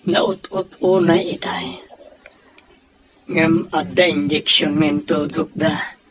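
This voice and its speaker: A man's voice: a little high, steady tone, takes it slowly, noisy background. A man acting in an Ilocano comedy radio drama, with an Ilocano Filipino accent. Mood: conversational. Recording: music bed behind the voices.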